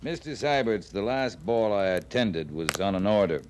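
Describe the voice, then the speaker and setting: An actor playing a world-weary, no-nonsense cavalry captain, curt and dry, in old-time radio western style. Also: male voice, fairly low pitch, animated, moderate pace, a little mellow, close to an everyday voice. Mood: dry, gruff. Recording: noisy old radio recording.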